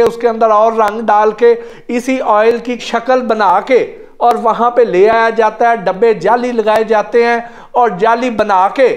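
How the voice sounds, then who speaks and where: Casting: middle-aged man, high voice, patient step-by-step explainer, seated indoors on a lapel mic. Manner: earnest, explaining step by step, repeating numbers to check. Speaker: automotive vlogger. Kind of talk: explanatory product demonstration. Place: ornate indoor room, seated at a table.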